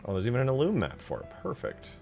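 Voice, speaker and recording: A man's voice: mid-range voice, huge pitch swings, fast talker, gravelly, darkish tone, faint music behind. A man giving casual live commentary as he works on game mods, thinking aloud and asking himself questions in an informal way. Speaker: streamer modding a game.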